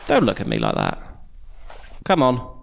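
Gravelly, gruff male detective. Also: medium pitch, wildly swooping pitch, measured pace, slight background noise, heavily acted. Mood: terse, dry. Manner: terse, guarded, dry. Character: gruff, blunt English male private detective in trenchcoat. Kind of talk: full-cast audio drama acting.

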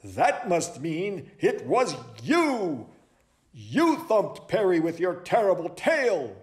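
Man voicing a pompous, high-pitched owl detective. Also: huge pitch swings, slow pace, full character voice. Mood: excited accusing. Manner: pompous, declamatory. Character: dramatic, self-important, excitable male owl detective. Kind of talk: children's storybook character voice.

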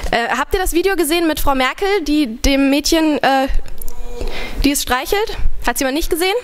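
A young woman on a large dark stage, speaking into a stand mic at a poetry slam: chatty and halting at first, then reading her text in an earnest, ironic tone. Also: high voice, animated, slight background noise. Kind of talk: spoken word performance.

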